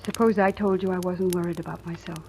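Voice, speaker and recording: A woman's voice: on the low side, steady tone, fast talker, warm tone, a little hiss or hum, natural voice. An actress playing a guarded, probing woman telling her suspense story in old-time radio drama style. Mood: probing, challenging. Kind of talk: 1940s-50s radio drama acting. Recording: old radio recording, music behind.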